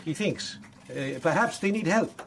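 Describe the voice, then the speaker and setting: An actor playing an earnest, matter-of-fact Italian police officer in a radio drama, speaking deliberate, slightly stilted English. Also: male voice, fairly high pitch, animated, gravelly, a little hiss or hum. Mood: earnest, explanatory. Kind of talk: naturalistic radio drama acting.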